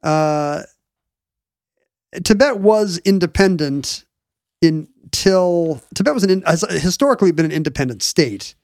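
40s guy talking history, kinda rambly, hunts for words, slightly high voice, dead dry mic. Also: animated, unhurried, a little mellow, dry recording. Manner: rambling, searches for words mid-sentence. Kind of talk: casual conversational explaining.

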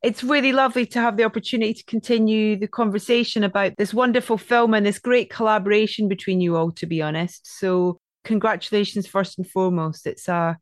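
A woman's voice: mid-range voice, steady tone, brisk, few pauses, no echo. Dry-sounding enthusiastic woman host. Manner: warm, enthusiastic, laughs often. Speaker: podcast host. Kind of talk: interview chat.

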